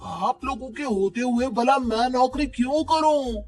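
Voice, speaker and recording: A high-voiced teenage boy acted by a man from a cartoon moral tale. He is lazy and entitled, whining flippantly at his parents, with music playing underneath. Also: unhurried, a little mellow. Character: lazy, cheeky, dependent teenage son. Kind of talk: Hindi cartoon moral story acting.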